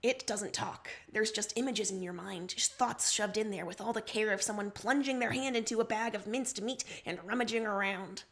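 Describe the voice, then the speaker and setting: A woman reading a story aloud in an expressive, theatrical way, as a dramatic narrator. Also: medium pitch, moderate pace, few pauses. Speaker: livestream story reader.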